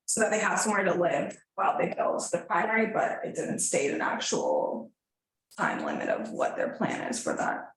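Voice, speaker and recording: A woman staff planner presenting a formal report to a council, then answering questions in a measured, slightly tentative way. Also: lowish voice, huge pitch swings. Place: council chamber. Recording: very echoey council chamber.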